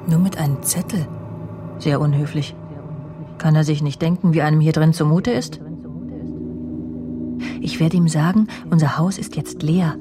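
An actress playing an evasive, self-absorbed TV journalist in prison, haughty and defensive with coy pleading, in a German radio crime drama. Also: female voice, low voice, steady tone, normal speed, pauses often, lightly acted. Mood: brooding.

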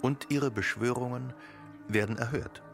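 A man's voice: mid-range voice, fairly even intonation, normal speed, creaky, close to an everyday voice. Actor as serious male storyteller, music behind. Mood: portentous. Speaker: narrator. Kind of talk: literary scripted radio drama narration.

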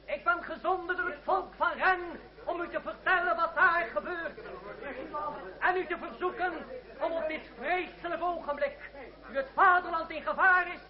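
A fiery revolutionary orator declaims in a very high, straining register. The delivery is solemn and rhetorical, as in radio drama. The recording is dull, with a crowd around the voice. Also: male voice, steady tone, normal speed. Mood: urgent appeal. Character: fiery revolutionary orator rousing townspeople to arms.

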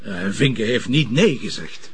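An actor playing a blunt, sardonic police commissioner with a Flemish accent in a naturalistic TV drama. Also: male voice, medium pitch, lively intonation, measured pace, breathy edge. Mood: probing, dry.

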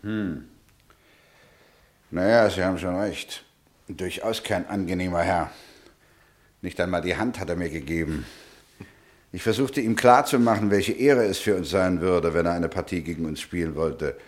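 An actor in a German radio play as a massive, proud, hot-tempered self-made millionaire, blustering, emphatic and self-important. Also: male voice, low voice, normal speed, long pauses, close to an everyday voice. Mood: grudging.

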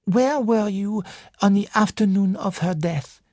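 A woman narrator voicing a courteous, self-assured male detective who speaks gently and thoughtfully in an audiobook. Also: mid-range voice, unhurried, no echo, clean studio sound, voice pushed up, natural voice. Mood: gentle, probing. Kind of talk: audiobook character dialogue.

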